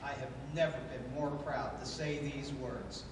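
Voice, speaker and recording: A man giving an informal, halting and sentimental introduction as host of a live show. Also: medium pitch, steady tone, noisy background. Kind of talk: informal stage introduction and dedication. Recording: echoey hall, distant mic, music behind.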